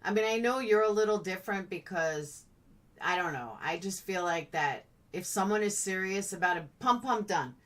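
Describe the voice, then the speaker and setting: A woman's voice: mid-range voice, moderate pace, far from the mic. A woman live-streaming in a blunt, chatty, playful way, casually answering comments as they come in.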